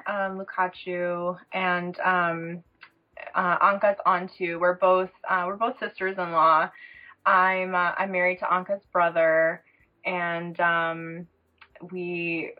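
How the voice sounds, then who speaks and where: Halting woman guest, slightly dull audio. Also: on the low side. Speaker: podcast guest, small business co-founder. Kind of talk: casual interview answers.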